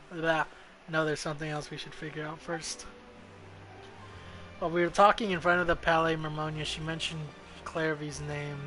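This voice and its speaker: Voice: mid-range voice, a bit bright, a little hiss or hum. A streamer giving casual, crude and irreverent game commentary, sometimes reading the dialogue aloud.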